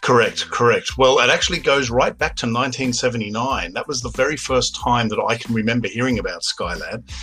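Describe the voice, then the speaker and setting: A man's voice: mid-range voice, a little fast, bright, forceful tone, faint music behind, low-quality recording. An Australian-accented man in his fifties, telling stories as an interview guest in an enthusiastic, anecdotal way. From Australia, grew up in Sydney. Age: 50s. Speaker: podcast guest, documentary filmmaker. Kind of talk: interview storytelling.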